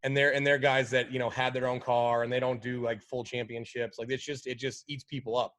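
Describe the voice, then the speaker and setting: A man who works as a professional rally driver, talking as a guest in a relaxed way with many "like" and "you know" fillers. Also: mid-range voice, a little fast. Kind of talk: casual interview chat.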